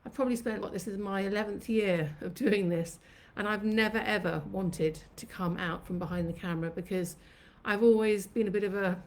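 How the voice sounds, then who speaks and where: A woman's voice: medium pitch, a little fast, hardly any pauses. Chatty woman in her fifties reminiscing. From Sri Lanka. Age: 50s. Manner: chatty and reflective, reminiscing at length. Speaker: host of her own channel. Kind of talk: live-streamed personal storytelling. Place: home kitchen.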